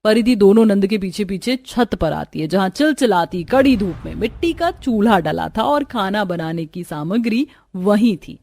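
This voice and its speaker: A woman's voice: medium pitch, expressive, normal speed, vocal fry, hardly any pauses, darkish tone, dry recording. A woman narrating a scripted story in a dramatic storytelling manner.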